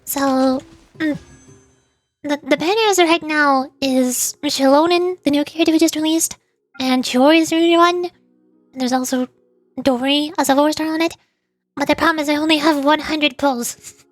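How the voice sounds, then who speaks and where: A woman hosting a casual gaming livestream, chatting in an animated, playfully whiny way. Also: high-pitched, normal speed, pauses often, dry recording, faint music behind. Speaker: VTuber livestream host.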